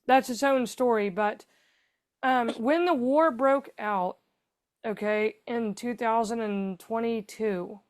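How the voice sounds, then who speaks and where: A woman's voice: on the high side, takes it slowly, clean tone. Chatty woman telling a long story. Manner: chatty, rambling storytelling with many fillers. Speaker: guest, foundation founder. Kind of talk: conversational podcast interview.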